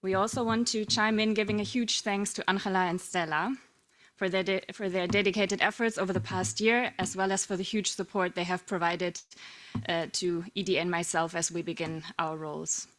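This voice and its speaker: Woman co-chair, formal and measured, running a board meeting. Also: medium pitch, fairly even intonation, a bit bright. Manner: measured, formal, reads prepared remarks.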